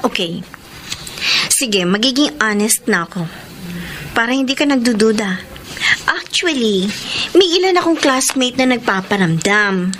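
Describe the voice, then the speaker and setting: A woman's voice: fairly high pitch, expressive, a little slow, long pauses, a bit bright, slight background noise. An actress with a Filipino accent playing a frank, devoted young woman student in a radio drama. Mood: apologetic, frank. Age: young adult.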